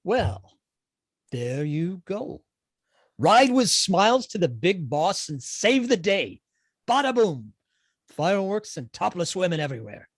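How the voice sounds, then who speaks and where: A man's voice: high-pitched, big pitch swings, unhurried, no echo, studio quality, full character voice. A man playing a breezy, flippant comic sidekick with an offhand manner in a play reading. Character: breezy, laid-back comic sidekick, brandy-swigging and flippant. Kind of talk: table read of a comedy play.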